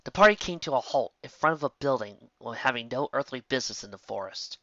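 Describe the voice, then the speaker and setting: Male fan fiction narrator, animated pitch, occasional misreads, close dry sound with dulled top. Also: brisk, dry recording, highs cut off. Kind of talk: scripted story narration.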